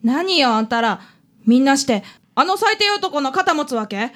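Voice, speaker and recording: A woman's voice: high-pitched, very animated, normal speed, a bit bright. A woman playing a loyal, indignant and blunt teenage girl in a radio drama.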